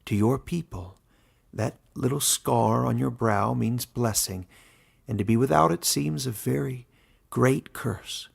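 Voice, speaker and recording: A man playing a wise old forest warden in a fantasy story, gentle, soft-spoken and measured. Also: medium pitch, vocal fry, slightly rough, full character voice. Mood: gentle, consoling.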